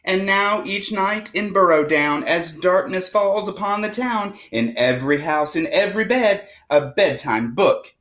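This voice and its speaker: A woman reading a rhyming picture book aloud to children with expressive, dramatic storytelling. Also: medium pitch, unhurried, hardly any pauses. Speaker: storybook reader.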